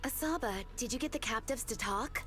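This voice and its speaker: A woman's voice: a little high, a little fast, a bit bright, soft background music, natural voice. A woman voicing a businesslike, composed squad officer in an anime-style game. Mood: serious, explaining.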